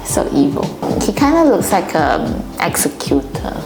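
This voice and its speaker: A young woman from Singapore talks with her tattoo artist in a relaxed, giggly way, often hesitating and trailing off. Her pitch moves a lot and stays animated. The room gives her voice a noticeable echo, with music playing behind. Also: measured pace, creaky. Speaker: tattoo client. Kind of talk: casual chat. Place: tattoo studio, indoors.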